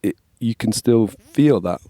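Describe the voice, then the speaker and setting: An English man in his forties with a slightly scratchy voice, chatting casually as a podcast guest and telling stories in a relaxed way. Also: lowish voice, a little slow, no echo. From England. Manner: relaxed, storytelling, frequent "yeah, man". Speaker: guest, worship label manager. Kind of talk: casual conversation.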